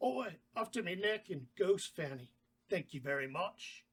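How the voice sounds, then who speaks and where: A man's voice: sits high, animated, measured pace, a bit bright, slightly rough, heavily acted. A man playing a crude, cocky, lecherous puppet sidekick in a comic horror table read. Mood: cheeky.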